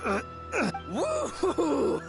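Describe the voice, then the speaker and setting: A man's voice: very high voice, huge pitch swings, extremely slow, over loud music, heavily acted. A man voicing a crazed, cocky possessed swordsman in a video game, taunting and erratic. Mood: gleeful taunting.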